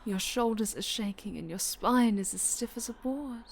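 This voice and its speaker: A woman playing a possessive, obsessive winged dark-angel superhero, sinister yet doting, in an intimate, breathy ASMR roleplay that often slips toward whispering. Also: a little high, normal speed, a little hiss or hum, close to an everyday voice. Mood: tender, possessive.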